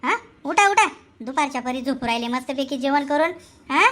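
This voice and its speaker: Woman doing a high-pitched, teasing Khandeshi neighbour. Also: expressive, fairly quick, a bit bright, slight background noise. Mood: impatient. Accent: Khandeshi Marathi. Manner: exclamatory, gossipy, rural colloquial. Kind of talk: comic cartoon voice acting.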